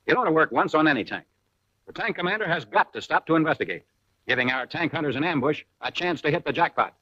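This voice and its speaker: Casting: American man, slightly high-voiced and husky, punchy wartime instructional narrator for a vintage 1940s army film. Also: animated, quick pace, dry recording. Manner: punchy, colloquial, wartime slang. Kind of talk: 1940s military training film narration, scripted. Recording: muffled, raspy vintage 1940s film soundtrack.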